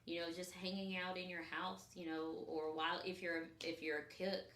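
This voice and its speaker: A woman's voice: fairly low pitch, flat delivery, moderate pace, fairly bright tone, echoey room. A woman with a Memphis, Tennessee accent, chatting playfully as podcast co-host, full of "like" and "um". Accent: Southern US (Memphis). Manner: chatty, playful, many "like" and "um" fillers. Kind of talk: casual chat.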